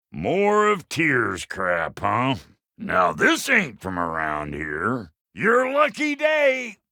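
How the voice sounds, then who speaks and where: A man voicing a gruff, foul-mouthed but good-hearted dwarf blacksmith in a video game, with a rough Southern American accent and a blunt, folksy manner. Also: fairly high pitch, sharp tone, dry recording, clean studio sound, full character voice. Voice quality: gravelly, rough-edged. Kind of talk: video game character acting.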